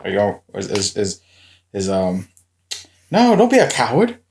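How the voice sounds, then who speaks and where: Animated young man interrupting with facts. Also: on the low side, measured pace, vocal fry, slight background noise. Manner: animated, interrupting, eager to explain. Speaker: co-host watching a video game. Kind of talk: casual game commentary.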